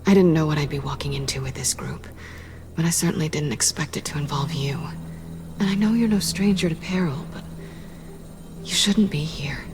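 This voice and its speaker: A woman's voice: low voice, normal speed, lightly acted. An actress playing a sly, tough female thief in a video game, with a wry, teasing, guarded way of talking. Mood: hushed concern. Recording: game audio under loud music.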